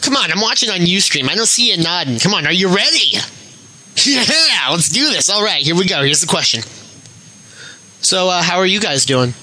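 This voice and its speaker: A man's voice: high voice, expressive, moderate pace, sharp tone. A man leaving a recorded call-in message for a podcast in a mock-pompous, self-promoting manner. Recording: slightly noisy amateur voice recording.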